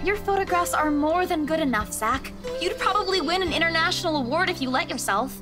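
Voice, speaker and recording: A woman's voice: extremely high pitch, expressive, brisk. A woman voicing a teasing, breezy young professional in a visual novel, chatty in manner. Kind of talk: visual novel dialogue read aloud. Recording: music playing behind the voice.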